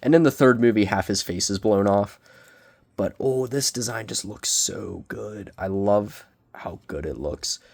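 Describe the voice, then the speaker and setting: A young man with a cold, sniffling as he reviews a collectible figure in casual, self-deprecating, excitable rambling. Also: on the low side, measured pace, gravelly. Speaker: toy reviewer. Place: small bedroom.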